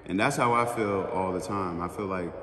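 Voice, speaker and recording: A man's voice: deep voice, moderate pace, bright, forceful tone. A man hosting a casual interview, talking in an earnest, encouraging way. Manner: earnest, encouraging, many affirmations. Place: Atlanta area. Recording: echoey with long reverb.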